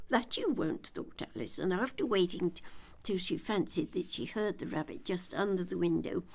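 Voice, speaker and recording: An older woman reading a children's story aloud as an expressive audiobook narrator. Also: medium pitch.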